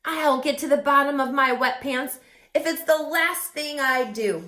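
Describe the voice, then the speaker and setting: A woman's voice: high-pitched, measured pace, pushed and bright, voice pushed up. A woman voicing an indignant male bear who accuses his friends in a huffy, dramatic way. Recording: roomy echo.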